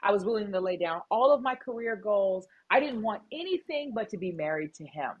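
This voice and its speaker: A woman's voice: medium pitch, lively intonation, brisk, husky, phone-quality sound. A middle-aged woman speaking as a guest in an interview, telling her story emphatically and with animation. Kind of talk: candid interview conversation.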